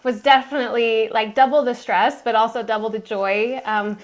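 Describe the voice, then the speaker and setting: A woman's voice: a little high, fairly even intonation, normal speed. A woman guest on a podcast, telling her life story in an earnest, emphatic way. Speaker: guest, mind coach. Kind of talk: interview storytelling.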